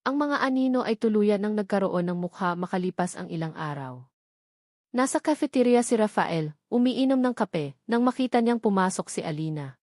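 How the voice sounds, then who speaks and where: A woman's voice: mid-range voice, expressive, normal speed, a little mellow, no echo. Female narrator, Filipino accent, dramatic romance storytelling, mid-range voice, dry recording.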